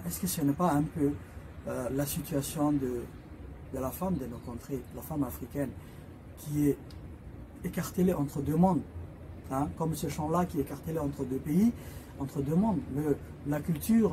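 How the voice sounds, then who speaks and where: A man whose first language is Somali speaks as an author introducing his books. His voice sits a little high, with a soft, mellow tone. He pauses often, filling gaps with hesitation sounds and restarting his sentences as he explains. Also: a little hiss or hum, poor audio. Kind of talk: informal spoken book presentation.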